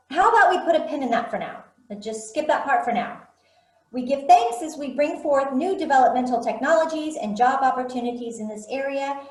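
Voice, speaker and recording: Woman as a fussy, stammering meeting chair; high voice, echoey room. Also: darkish tone. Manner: hesitant, stammering. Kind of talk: staged play reading over video call. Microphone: video call.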